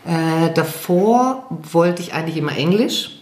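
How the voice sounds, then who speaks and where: A woman's voice: fairly low pitch, animated, measured pace, darkish tone, a little hiss or hum. A German woman singer, chatting in an interview, hesitant and self-deprecating with many fillers. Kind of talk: casual interview chat. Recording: echoey room.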